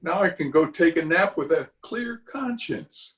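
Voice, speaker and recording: A man's voice: fairly high pitch, animated, a little slow, a bit bright. A man playing a pompous, self-justifying middle-aged uncle in an old-time radio comedy, with a blustery, chuckling manner. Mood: scheming, pleased. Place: recorded remotely over a video call.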